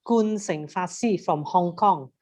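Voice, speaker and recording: A woman's voice: fairly low pitch, measured pace, darkish tone. Cantonese-speaking woman on a video call. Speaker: student.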